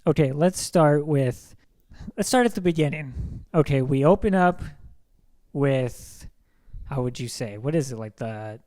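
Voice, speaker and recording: A man's voice: medium pitch, animated, a little slow, warm tone, no room sound. A man co-hosting a casual movie podcast, enthusiastic and rambling, with lots of filler words. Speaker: podcast co-host. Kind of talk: casual movie review chat.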